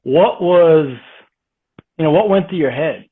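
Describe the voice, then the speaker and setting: A man's voice: on the high side, measured pace, breathy edge. A man hosting an interview, a coach who asks easygoing questions and keeps affirming his guest over a video call. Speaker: host and coach. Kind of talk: casual interview chat. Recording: phone-like call audio.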